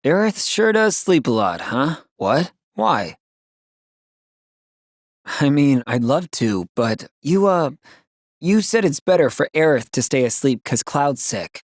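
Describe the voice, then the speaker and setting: A man's voice: fairly high pitch, big pitch swings, slow, no room sound, studio quality, heavily acted. A man voicing an upbeat, loyal young ex-soldier in a video game, with a casual, boyish, earnest delivery. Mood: gentle, puzzled.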